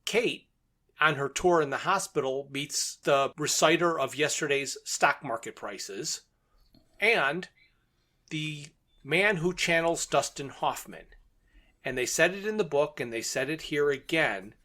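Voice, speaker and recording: A man's voice: on the high side, animated, a little slow, bright, forceful tone. A man co-hosting a podcast, casually recapping and discussing a story with wry, amused asides. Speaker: podcast co-host. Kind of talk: casual book and radio drama discussion.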